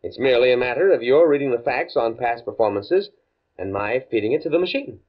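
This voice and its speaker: Man playing a precise, husky, earnest young scientist type. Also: medium pitch, lively intonation, fairly quick, close to an everyday voice. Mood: confident, eager. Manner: precise, stuffy, stammers when flustered. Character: earnest, pedantic, naive male university computer scientist. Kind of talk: 1950s radio drama acting. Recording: muffled vintage 1950s radio recording.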